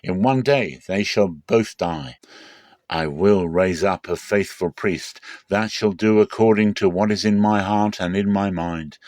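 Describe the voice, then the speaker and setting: A man's voice: sits low, a little slow, a little mellow, no room sound, clean studio sound. A man reading the Bible aloud as a dramatic scripted narration. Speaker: Bible reader.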